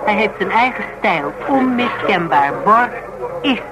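A woman's voice: medium pitch, lively intonation, a little slow, close to an everyday voice, poor audio. Dutch woman actress in a radio play, stubborn, emphatic, kinda teasing, talks colloquial and argues point by point. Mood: insistent. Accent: Netherlands Dutch. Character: outspoken woman reasoning stubbornly with a fanatic cop. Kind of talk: naturalistic radio drama dialogue.

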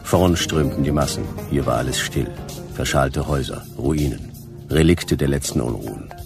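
A man's voice: rumbling low, normal speed, creaky. A man playing a laconic, hard-boiled private detective in a noir radio play, dry, terse and wry. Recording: music behind the voice.

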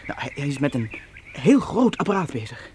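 A man's voice: a little high, sing-song, normal speed, a little mellow. A man playing a hesitant, curious helper and sidekick in a children's audio drama, stammering and jumpy. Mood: wry, unsure. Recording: noticeable background noise.

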